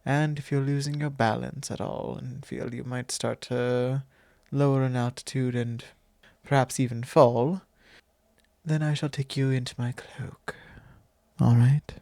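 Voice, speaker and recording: A man voicing a doting, possessive vampire lord in an ASMR roleplay, with soft, genteel phrasing that slips into breathy whispers, close on the mic. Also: mid-range voice, fairly even intonation, no echo. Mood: attentive, protective. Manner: soft, drawn-out, genteel old-fashioned phrasing. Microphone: close microphone.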